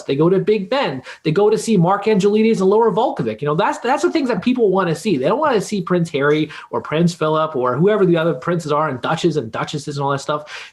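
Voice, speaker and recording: A man with a distinctly high-pitched voice gives opinionated commentary as a panelist. His manner is scornful and emphatic, with wordy, pointed phrasing.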